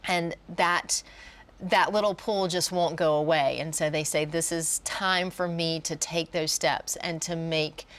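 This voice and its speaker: Female college educator as interview guest, lower voice, encouraging and explanatory. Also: fairly even intonation, measured pace, fairly bright tone.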